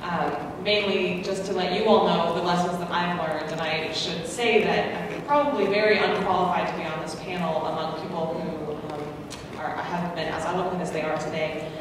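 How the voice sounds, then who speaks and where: A woman's voice: lowish voice, fairly even intonation, normal speed, few pauses. A woman from the United States speaking as a panelist, earnest and self-deprecating, with many ums. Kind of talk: conference panel talk. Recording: echoey hall from far off, noisy.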